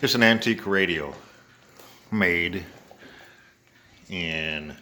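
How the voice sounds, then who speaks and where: An older man with an American accent giving a casual, halting show-and-tell narration in short phrases. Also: sits low, pushed and bright. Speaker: home video maker showing an antique radio.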